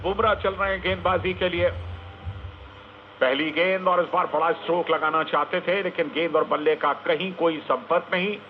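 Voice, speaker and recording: A man's voice: on the high side, fairly even intonation, normal speed. A man giving emphatic live cricket commentary, repeating phrases for effect. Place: live sports commentary picked up on radio. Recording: noisy narrowband AM radio broadcast.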